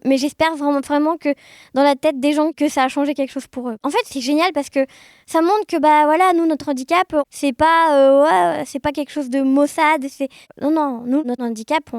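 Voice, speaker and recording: A woman's voice: high-pitched, darkish tone, no room sound. A woman answers in a casual interview. She talks informally and with animation, in a very dry recording. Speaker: interview guest, amateur audio fiction maker.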